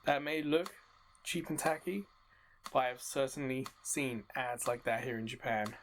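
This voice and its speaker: A man's voice: medium pitch, fairly even intonation, a little slow, a little hiss or hum. A man giving casual commentary as the host of a video game playthrough. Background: game music.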